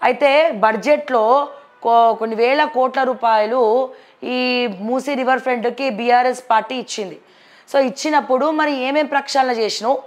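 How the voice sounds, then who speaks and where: A woman news presenter with a Telangana accent, reviewing the papers and commenting on politics in a conversational, blunt, questioning way. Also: high-pitched. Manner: blunt, questioning, addresses people directly.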